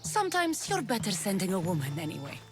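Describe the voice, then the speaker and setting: A woman's voice: medium pitch, wildly swooping pitch, fast, music in the background. An actress playing a tough, blunt female mercenary in a video game, commanding and sardonic. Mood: dry, bargaining. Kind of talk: video game dramatic acting.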